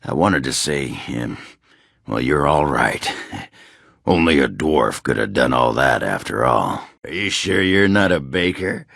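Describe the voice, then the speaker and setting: An actor playing a crude, boastful middle-aged male dwarf warrior who likes his drink, gruff and drawling in a video game. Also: expressive, measured pace, fairly bright tone, no echo, studio quality, exaggerated voice. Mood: smug, crude. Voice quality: gravelly, raspy bass. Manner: gruff, drawling, mumbling self-satisfied chuckles. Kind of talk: video game character dialogue.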